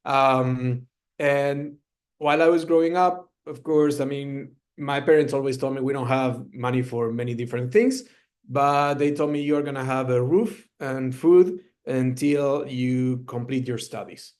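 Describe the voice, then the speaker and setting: A middle-aged man from Argentina gives a relaxed storytelling talk over a video call. He often pauses on fillers as he goes, and his voice sits at an ordinary middle pitch. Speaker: guest speaker, founder. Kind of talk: storytelling presentation.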